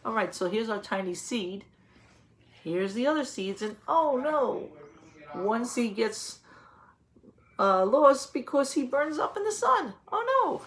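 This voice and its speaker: Lady teacher doing a kids read aloud, slow pace, explains stuff simply and asks little questions. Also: a little high, big pitch swings. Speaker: teacher reading aloud to young children.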